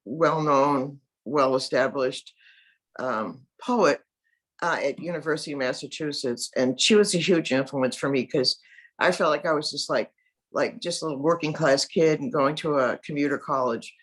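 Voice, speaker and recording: A woman's voice: low-pitched, moderate pace. An older woman poet chatting about her influences, rambling with many fillers. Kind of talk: panel discussion after a reading.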